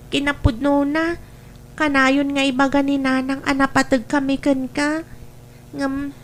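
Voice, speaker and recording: Woman acting a high-voiced, sad, dutiful child. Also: a little hiss or hum. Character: sad, dutiful young child longing for father's attention. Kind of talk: Ilocano family radio drama acting.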